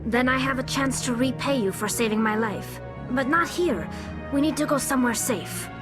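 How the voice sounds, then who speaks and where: A woman's voice: high voice, moderate pace, music in the background, close to an everyday voice. An actress voicing an earnest young female alien in a video game, explaining things carefully. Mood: guarded. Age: young adult.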